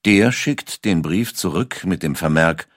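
A man with a deep voice narrates a scripted documentary. His intonation moves widely as he tells the story in a measured, dramatic way. The sound is dry, with no room around it. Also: lively intonation, no echo.

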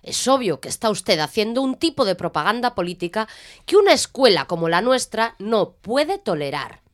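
Stern, animated headmistress, played by a woman. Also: mid-range voice, normal speed, close to an everyday voice. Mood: formal, stern. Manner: formal, authoritative, admonishing. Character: stern, evasive female school headmistress. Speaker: actor. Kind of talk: audio drama acting.

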